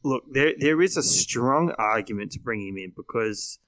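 Blunt aussie guy chatting on a sports podcast, bright punchy voice, really dry sound. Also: medium pitch, expressive, moderate pace, no room sound. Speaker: podcast panellist. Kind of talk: casual sports fantasy podcast chat.

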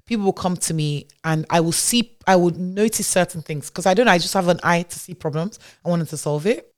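A woman's voice: on the low side, fairly quick. A woman who grew up in Nigeria, a tech founder talking as a podcast guest in a chatty way, with many fillers and restarts. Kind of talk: casual interview storytelling.

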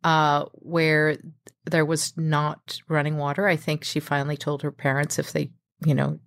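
Middle-aged woman guest, low voice, halting and full of fillers, dry close recording. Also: completely flat delivery, gravelly, no room sound. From Mexico. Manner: hesitant, many fillers and restarts. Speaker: guest, chef and restaurateur. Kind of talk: casual interview talk.

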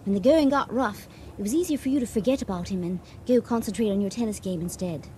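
Actress as mellow-voiced, earnest young romantic. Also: female voice, mid-range voice, lightly acted. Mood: determined. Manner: flustered, earnest, halting. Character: shy, bookish, romantically smitten young woman. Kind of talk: radio drama acting. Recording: noisy 1980 radio drama recording.

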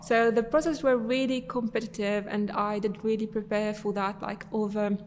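A woman's voice: mid-range voice, steady tone, normal speed, in a large hall, slight background noise. A young woman from Syria, a podcast guest, answering casually with many fillers and restarts.

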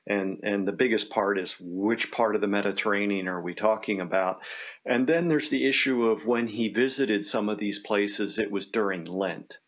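A man talks as an interviewer in a fairly low voice. His delivery is conversational, with a dry, wry streak of understatement. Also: moderate pace.

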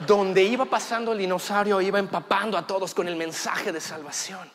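Animated man telling a comic story. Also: high-pitched, a little fast, in a large hall, a little hiss or hum. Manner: animated, laughing, takes audience suggestions. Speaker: improv storyteller. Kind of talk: improvised comedy storytelling.

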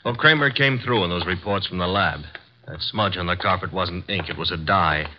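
Man playing a smart-mouthed young sidekick, lowish voice, muffled old radio. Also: moderate pace, a little hiss or hum, lightly acted. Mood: breezy. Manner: wisecracking, breezy, sarcastic. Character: wisecracking young male assistant detective. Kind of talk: 1950s radio drama acting. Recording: dull old radio recording.

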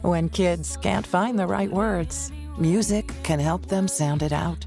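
A woman narrates a public service announcement gently and steadily. Her voice sits a bit low, with faint music underneath. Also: slow, vocal fry.

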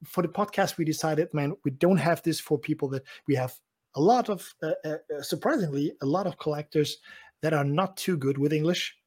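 A German man chats on a podcast in English, his second language. His voice sits a bit high and sounds husky. He often repeats words and laughs as he goes. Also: fairly quick, a little mellow. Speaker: podcast co-host. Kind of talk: casual chat.

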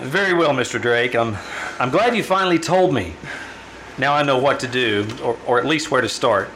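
An actor playing a firm, probing doctor in an old-time radio drama, with a measured, authoritative manner. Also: male voice, mid-range voice, fairly bright tone, a little hiss or hum, natural voice, low-quality recording. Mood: reassuring, instructing. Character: probing, firm male doctor questioning a patient.

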